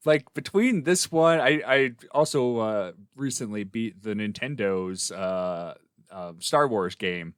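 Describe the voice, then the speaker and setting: A middle-aged man co-hosting a podcast, chatting casually in a halting, rambling way. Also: fairly low pitch, lively intonation, clear, resonant tone, no echo, clean studio sound. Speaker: podcast co-host.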